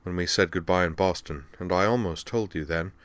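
A narrator plays an anxious Earth man in a science fiction audiobook. His voice is low and close, earnest and hesitant, weighed down by self-doubt. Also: fairly quick, a bit bright, no room sound. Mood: anxious, ashamed.

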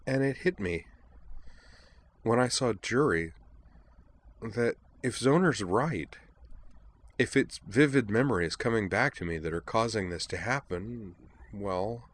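A man voicing an anxious, sorrowful young woman who confides in a soft, hesitant murmur, as part of a story reading. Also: low voice, animated, moderate pace. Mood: troubled.

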